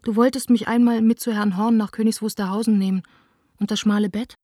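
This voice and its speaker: A woman plays a lovelorn young letter writer in a radio play. Her voice is soft-toned and warm, with an intimate, earnest delivery. The recording is very dry. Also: medium pitch, fairly even intonation, brisk, no echo, lightly acted. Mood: sad, reproachful. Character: lovelorn, reproachful young woman, theatre director.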